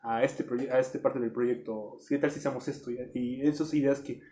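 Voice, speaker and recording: A man's voice: mid-range voice, normal speed. A young man, an architecture student reflecting casually on teamwork. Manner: relaxed, reflective, lots of fillers. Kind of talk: casual group chat.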